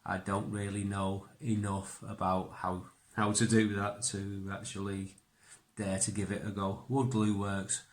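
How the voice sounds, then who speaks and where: A man's voice: fairly low pitch, flat delivery, unhurried. A man who is a native English speaker, giving a casual hands-on bushcraft tutorial and thinking aloud informally. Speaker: bushcraft instructor.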